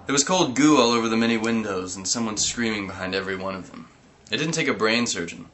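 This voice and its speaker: A man with a lowish, sharp-edged voice reads a comic story aloud. He is animated, often breaks into giggles and sudden yelps, and there is a faint hiss behind him. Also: fast talker. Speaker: reader.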